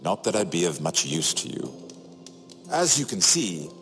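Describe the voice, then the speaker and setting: An actor playing a wry, shrewd middle-aged imprisoned nobleman in a video game, sardonic and theatrical, phrasing each remark deliberately. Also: low voice, sing-song, creaky, fairly bright tone, heavily acted. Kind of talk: dramatic video game dialogue. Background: music.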